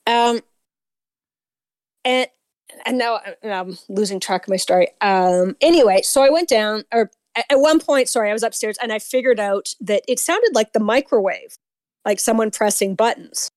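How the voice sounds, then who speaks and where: A woman from Canada with a Canadian accent, calling in to tell a story on a radio show, talking fast and rambling with fillers and restarts. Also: fairly high pitch, darkish tone, no echo. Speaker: caller. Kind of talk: phone-in storytelling.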